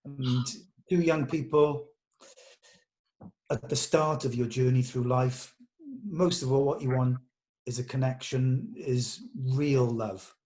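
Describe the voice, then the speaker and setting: A man's voice: medium pitch, fairly even intonation, takes it slowly, darkish tone, poor audio. A British man speaking informally and earnestly, like a director encouraging actors at a table read, with blunt colloquial turns. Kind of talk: informal character briefing to actors.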